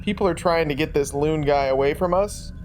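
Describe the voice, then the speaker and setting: A tabletop game player talking in casual, animated table chat. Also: mid-range voice, brisk, slight background noise.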